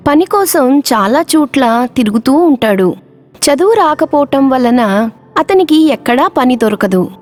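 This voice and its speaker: A woman's voice: high-pitched, animated, a little slow, darkish tone. A woman narrating a scripted moral story for children as the storyteller.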